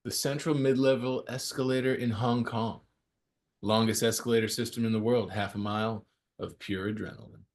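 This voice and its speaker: A man's voice: fairly low pitch, steady tone, normal speed, lightly acted. A man playing a laid-back, friendly young ski guy in a screenplay table read, casual and teasing. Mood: teasing, easygoing. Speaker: actor at a script table read. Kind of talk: casual naturalistic screenplay reading.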